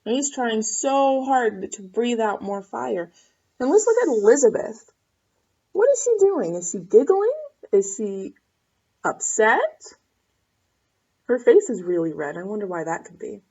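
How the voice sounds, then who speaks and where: A woman's voice: a little high, very animated, unhurried, pauses often, warm tone, breathy edge, dull audio. A woman reading a picture book aloud to children expressively, with asides and questions for the listeners. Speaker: storybook reader.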